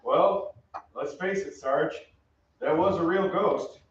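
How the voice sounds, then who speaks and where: A man playing a slow-talking wisecracking soldier. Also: a little high. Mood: scheming. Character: wisecracking, skeptical American soldier, amiable. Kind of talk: old-time radio play acting. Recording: echoey stage, distant mic, muffled, faint noise.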